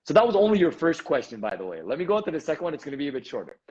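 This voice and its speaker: A man from Montreal whose first language is Armenian, telling his story as an interview guest in an animated way, acting out the dialogue he quotes. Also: on the high side, very fast, slightly rough, dull audio. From Montreal, Canada. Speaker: guest, speaker and coach.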